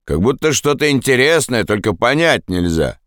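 A man voicing a rough, bossy older woman in an audiobook, speaking bluntly and with command. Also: medium pitch, very animated, moderate pace, fairly bright tone, dry recording, studio quality, voice pushed up. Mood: curious.

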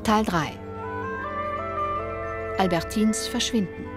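A woman's voice: mid-range voice, slow. A woman reading scripted credits and titles as announcer of a radio drama. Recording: music playing behind the voice.

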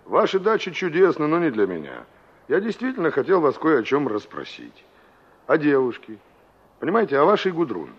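An actor playing a dry, probing, impatient young male engineer in a 1960s Soviet radio drama, with a slightly husky voice. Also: on the high side, wildly swooping pitch, brisk, heavily acted. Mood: calming, defensive. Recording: dull old radio drama recording.

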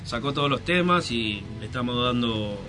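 A man, a band member being interviewed, relaxed and often restarting his sentences. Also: medium pitch, moderate pace, music in the background. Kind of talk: casual interview chat.

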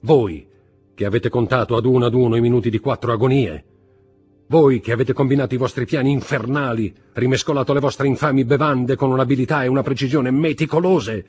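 A male narrator acts out a merciless magistrate confronting his wife in a storybook drama. He is commanding and full of contempt, with a husky edge to his deliberate, accusing delivery. Music plays faintly behind him. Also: fairly low pitch, expressive. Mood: furious, contemptuous. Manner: commanding, contemptuous, deliberate interrogation rising to shouts.

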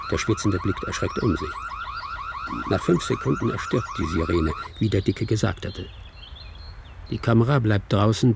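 A man's voice: on the low side, creaky, noisy background, heavily acted. A man playing a detached narrator who reads out a film script in short, observational present-tense sentences for a radio drama. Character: detached male narrator reading out a film screenplay. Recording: music under the voice.

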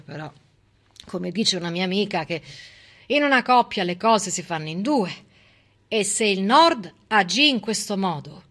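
A woman performs a civic stage monologue in a high, bright, forceful voice. She speaks rhetorically and with irony, stressing key words and setting them off with pointed pauses. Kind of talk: theatrical civic monologue, persuasive storytelling.

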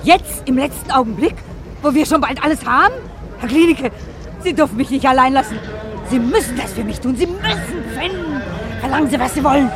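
Eine Schauspielerin spielt im Hörspiel eine verbissene, gierige Frau, die fieberhaft drängt und bettelt. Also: female voice, sits high, lively intonation, fairly quick, music in the background, crowd noise. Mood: urgent pleading. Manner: urgent, pushy, pleading. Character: frantic, greedy, determined woman urging a man onward. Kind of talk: German radio drama acting.

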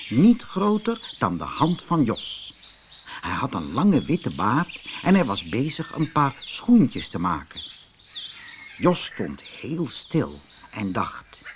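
A man narrating a children's fairy tale as a scripted audiobook, with expressive, dramatic delivery. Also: medium pitch, a little hiss or hum. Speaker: audiobook storyteller.

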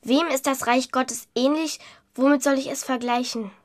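A woman playing a bright, eager, stubborn seven-year-old medieval girl in a German radio play, earnest and precise. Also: high voice, steady tone, normal speed, natural voice.

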